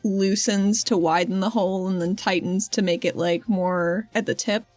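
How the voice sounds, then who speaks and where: A woman chats and narrates her moves at a tabletop game. She sounds informal and inquisitive, with a medium pitch for a woman. The recording is close and dry over quiet music. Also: fairly even intonation, normal speed, no room sound. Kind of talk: casual game-table talk.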